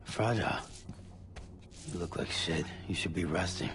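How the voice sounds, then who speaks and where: Gruff terse courier, extremely deep gravelly voice. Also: male voice, moderate pace. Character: gruff rugged male courier, weary and blunt. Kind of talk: cinematic video game cutscene acting.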